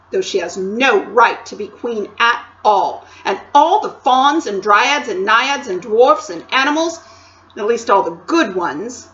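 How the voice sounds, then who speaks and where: A woman playing an eager young storybook girl, high and bright with a voice that leaps about. The reading is loud and slow, on a slightly dull recording with faint hiss. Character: excited cheerful young girl.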